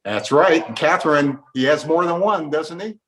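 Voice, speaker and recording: A man's voice: on the high side, moderate pace, fairly bright tone, low-quality recording. A man hosting a casual conversational interview, chatting with his guests and putting questions to them. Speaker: host.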